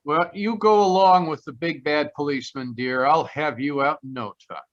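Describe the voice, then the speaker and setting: A man's voice: fairly high pitch, normal speed, close to an everyday voice. Breezy wisecracking private eye, played by a man, dull audio. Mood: flirtatious, teasing. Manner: breezy, teasing. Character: wisecracking, flirtatious male private detective, cool and unflappable. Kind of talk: old-time radio detective drama acting. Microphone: video call. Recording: dull video-call audio.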